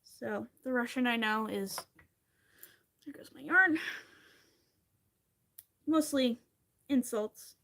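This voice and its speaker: Bright chatty woman from pennsylvania, kinda high voice, rambling while she crochets on a live. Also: takes it slowly, gravelly, pauses often. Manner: chatty, rambling, wry asides, answers chat comments. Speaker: crochet livestream host. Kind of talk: casual chat while crocheting on a livestream.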